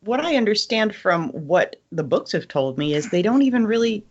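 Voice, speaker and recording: A woman's voice: mid-range voice, very animated, normal speed, slightly rough. Woman chatting on a slightly muffled recording. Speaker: podcast panelist. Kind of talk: casual book discussion.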